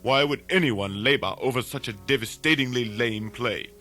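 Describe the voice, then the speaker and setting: A man's voice: medium pitch, normal speed, bright, forceful tone, close to an everyday voice. A man playing a pompous mock-scholarly presenter introducing a comedy radio play. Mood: dry, pompous. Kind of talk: scripted comic introduction.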